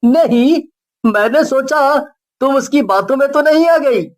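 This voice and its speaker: A woman's voice: sits high, lively intonation, unhurried. A woman voicing an earnest, polite young schoolboy in a cartoon story. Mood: curious. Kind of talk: Hindi cartoon story character voice.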